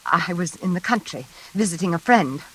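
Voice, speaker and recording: A woman playing a nervous, frightened elevator passenger in a classic radio suspense drama, speaking in halting, pleading phrases. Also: on the low side, lively intonation, close to an everyday voice. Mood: uneasy politeness. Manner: halting, anxious, pleading, repeating herself. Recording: hissy, noisy old radio recording.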